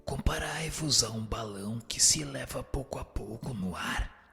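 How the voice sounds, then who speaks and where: A man voicing a solemn spirit who teaches in a measured, declamatory, didactic way in a radio drama. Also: medium pitch, gravelly, pushed and bright, faint music behind. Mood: solemn, exhorting. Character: solemn male spirit answering questions and dictating teachings. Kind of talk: dramatized reading of a spiritist text.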